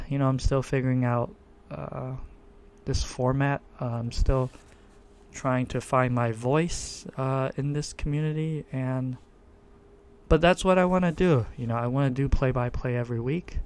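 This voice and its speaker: A man hosting his own podcast, introducing himself in a low monotone voice and stopping often with fillers and restarts. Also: a little hiss or hum. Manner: hesitant, many fillers and restarts. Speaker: podcast host. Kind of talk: casual self-introduction monologue. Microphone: desktop USB microphone.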